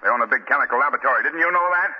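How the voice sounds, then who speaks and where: An actor playing a brusque, skeptical police commissioner, gruff and impatient, in a 1940s radio drama. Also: male voice, medium pitch, expressive, very fast. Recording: tinny old 1940 radio recording.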